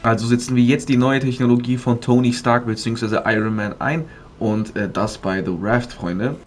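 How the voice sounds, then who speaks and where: A man's voice: medium pitch, fairly even intonation, normal speed, soft background music. A man doing walkthrough commentary as a gaming video creator, explaining things step by step to viewers. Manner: explanatory, addresses viewers as friends.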